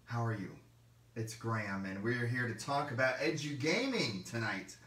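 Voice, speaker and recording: A hoarse man chatting on a livestream with an everyday mid-range pitch. He rambles, circling back with fillers and false starts. His voice sits back from the mic in a roomy space. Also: lively intonation, normal speed, fairly bright tone, recorded from a distance. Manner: rambling, many fillers and restarts. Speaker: game store livestream host. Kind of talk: casual livestream talk.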